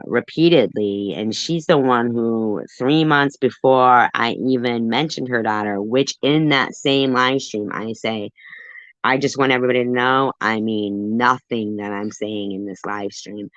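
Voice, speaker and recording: Woman host going on and on defensively, sharp bright voice, dull dry lo-fi sound. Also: a bass voice, measured pace, no room sound. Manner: defensive and rambling, with many fillers. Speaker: commentary channel host. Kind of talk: livestream commentary.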